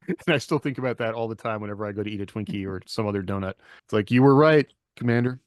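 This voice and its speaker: A man's voice: lowish voice, fast, no room sound, clean studio sound. A man hosting a podcast in a casual, informal, anecdotal chat style. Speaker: podcast host.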